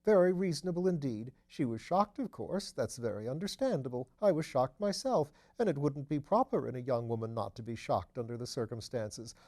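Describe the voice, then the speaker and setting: A man's voice: mid-range voice, animated, fairly quick, few pauses, darkish tone. A man voicing a small, nervous, apologetic man in an audiobook, deferential and fussy as he repeats himself. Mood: apologetic, earnest. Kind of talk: audiobook character voice.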